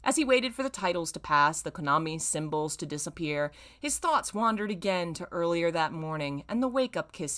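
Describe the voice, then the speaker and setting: A woman's voice: low voice, fairly bright tone. A woman hosting an online reading of fan fiction, narrating dramatically and breaking off with casual, self-mocking comments. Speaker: YouTube host reading fan fiction aloud.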